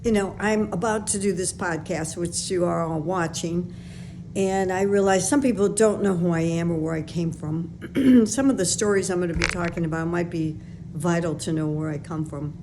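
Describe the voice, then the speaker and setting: An older woman with a Chicago accent hosts her own podcast and talks through her life story in a loose, chatty way, full of fillers and restarts. Her voice sits fairly low. A clear layer of noise runs behind her. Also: moderate pace. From West Side of Chicago. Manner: conversational and rambling, with frequent fillers and self-corrections. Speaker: podcast host. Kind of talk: personal storytelling monologue.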